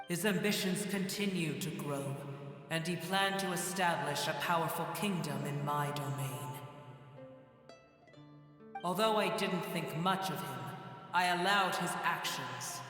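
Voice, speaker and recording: An actor playing an ancient, proud and bitter dragon in a video game, booming, grandiose and scornful. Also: male voice, fairly bright tone. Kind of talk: video game character acting. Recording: cavernous echo with long reverb. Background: game music.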